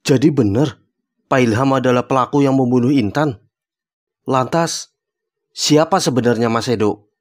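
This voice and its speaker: A man voicing a cautious, determined young amateur sleuth in a cartoon, with measured, casual speech. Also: medium pitch, natural voice. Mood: stunned. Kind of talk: Indonesian cartoon dialogue, colloquial. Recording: music behind the voice.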